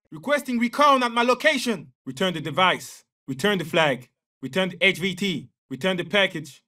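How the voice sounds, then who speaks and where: An actor with a French accent playing a confident young special forces soldier in a military shooter game, giving terse, clipped callouts. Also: male voice, sits high, bright, forceful tone, recorded from a distance. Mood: urgent, forceful, then commanding. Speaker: video game operator.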